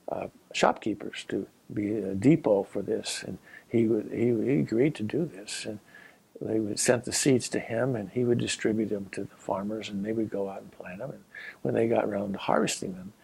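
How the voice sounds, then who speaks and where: An older man from Colorado, a former Peace Corps volunteer and geography professor, recalling his experiences in an unhurried, halting way with many pauses. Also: lowish voice, gravelly, a little mellow, breathy edge. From Colorado, USA. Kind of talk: reminiscing personal story.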